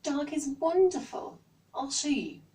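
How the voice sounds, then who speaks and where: A woman's voice: high voice, lively intonation, unhurried, mellow, far from the mic, pitched above the performer's own voice. A woman with an English accent voicing an enthusiastic, friendly male stargazer in a storybook. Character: enthusiastic friendly man with a telescope.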